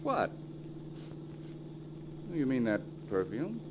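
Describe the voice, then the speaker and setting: An actor playing a husband in a 1940s radio drama, on an old, noisy recording. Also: male voice, medium pitch, wildly swooping pitch, drawn-out words, lo-fi sound, full character voice. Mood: breezy, dismissive. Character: entranced husband, cheerful then dazed and obedient.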